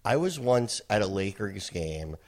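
A man's voice: on the low side, unhurried. An older man chatting casually on a podcast, telling stories in a voice that carries. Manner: animated storytelling, voice carries. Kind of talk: casual chat.

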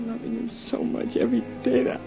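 A woman's voice: on the high side, monotone, a little fast, creaky. An anguished, bitter grieving woman, old radio. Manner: impassioned, emotional. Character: anguished, bitter, grieving wife of a wronged man. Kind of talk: 1950s radio drama acting. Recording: muffled 1950s radio recording with loud music.

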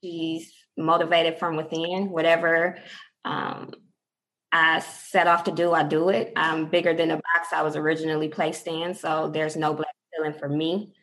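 Earnest woman, lowish voice. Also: flat delivery, normal speed, fairly bright tone, low-quality recording. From Detroit. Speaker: podcast guest. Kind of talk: casual interview.

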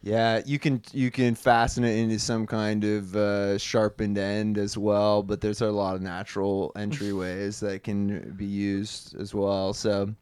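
A man's voice: lowish voice, little pitch movement, unhurried, hardly any pauses, darkish tone, clean tone, no room sound. A man improvising a laid-back comedy character on a podcast, drawling and peppering his talk with "dude".

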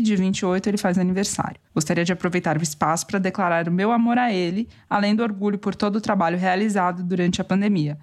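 A Brazilian woman co-hosting a podcast from home, reading listener emails aloud and teasing her co-hosts with a laugh. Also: lowish voice. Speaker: podcast co-host. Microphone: remote home mic.

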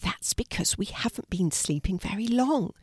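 A woman reads as a kindly young girl in a children's story. She is gentle and coaxing, with an encouraging way of putting things. The sound is dry. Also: on the low side, lively intonation, unhurried, vocal fry, no room sound. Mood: hushed, urging.

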